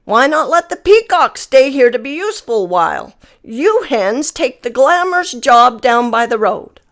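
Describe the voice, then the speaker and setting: A woman voicing a calm old male farm hound in a storybook, with an easygoing delivery. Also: sits high, measured pace, heavily acted. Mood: sly.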